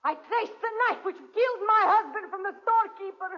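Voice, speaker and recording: A woman's voice: extremely high pitch, a little fast. A woman playing a fierce, vengeful widow in a 1940s radio drama. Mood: accusing, fierce. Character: vengeful widow accusing her husband's killer, fierce. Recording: muffled 1940s radio recording.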